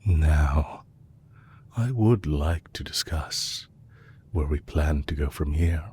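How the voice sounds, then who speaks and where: A man playing a composed, courteous villain leader who is possessive and lovestruck, speaking formally and deliberately in an intimate romantic audio roleplay. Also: rumbling low, darkish tone, a little hiss or hum. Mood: businesslike, teasing. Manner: formal, deliberate, courtly phrasing with long pauses.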